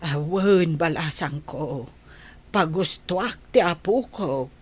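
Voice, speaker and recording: A woman's voice: low voice, slow pace, husky, heavily acted. A woman playing an elderly, tender and forgiving village grandmother with an Ilocano accent in a radio drama. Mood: gentle.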